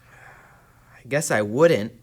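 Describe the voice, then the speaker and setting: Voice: mid-range voice, extremely slow, slightly rough. A performer playing an eager, good-hearted schoolboy in a puppet show, speaking in an earnest, boyish way. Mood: sheepish. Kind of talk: church puppet show dialogue.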